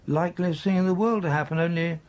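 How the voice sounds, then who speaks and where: An actor playing a brisk, wry British colonel in a radio drama, with a British accent and clipped, telegraphic sentences. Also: male voice, on the high side, darkish tone, lightly acted. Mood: brisk, suspicious.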